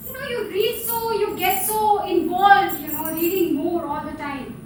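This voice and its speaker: Stage play wife, female actor: conversational, gently needling, heavy room echo with noise behind. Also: extremely high pitch, measured pace. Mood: gently insistent. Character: chatty, gently needling wife of a textbook publisher. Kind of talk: live stage play acting. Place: indoor theatre stage, live performance. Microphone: distant microphone. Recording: distant, very echoey theatre, background noise.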